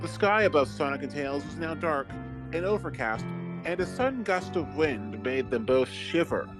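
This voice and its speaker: Theatrical male narrator with lively, swooping pitch. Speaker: narrator reading fan fiction aloud with friends. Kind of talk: dramatic mock-serious story narration. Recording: music behind, deliberately old-fashioned microphone sound.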